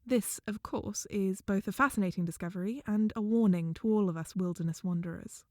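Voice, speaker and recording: A woman playing an earnest, adventurous field naturalist giving a measured, wonderstruck report in an audio drama. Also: medium pitch, darkish tone, no echo, clean studio sound, natural voice. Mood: measured warning. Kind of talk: scripted audio-drama narration.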